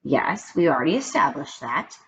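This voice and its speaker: A woman reading as a brisk, bossy female schoolteacher in a storybook, with a stern and insistent manner. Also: sits low, sing-song, voice pushed down. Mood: impatient. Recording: dull lo-fi recording with background noise.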